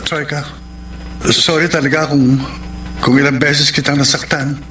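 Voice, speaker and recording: An actor playing an apologetic young man, torn and afraid to commit, speaking haltingly and earnestly in a radio romance drama. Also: a little high, flat delivery, pushed and bright. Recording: roomy, distant voice with heavy background noise.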